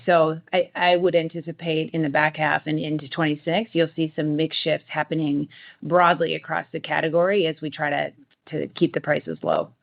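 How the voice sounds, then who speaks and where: A man's voice: fairly high pitch, vocal fry. A man speaking as a company executive, explaining business decisions in semi-formal talk on a conference call. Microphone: conference call line. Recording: muffled conference call audio.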